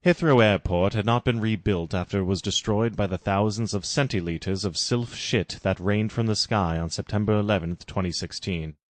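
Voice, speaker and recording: A man's voice: on the low side, moderate pace, hardly any pauses, no echo. A man giving a scripted narration of a parody story. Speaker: reader.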